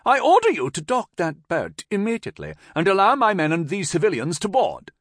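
A man's voice: mid-range voice, very animated, normal speed, a bit bright, breathy edge, dry recording, clean studio sound, voice pushed up. A man voicing an obnoxious, entitled young aristocratic army officer in an audiobook, pompous and blustering with indignation. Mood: shrieking indignation.

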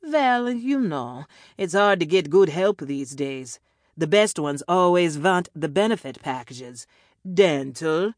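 A woman's voice: low voice, animated, slow pace, no room sound, studio quality. A woman voicing a smug, sarcastic male villain interrogator in an audiobook, mock-polite and menacing, with theatrical, taunting delivery. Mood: wry, grumbling.

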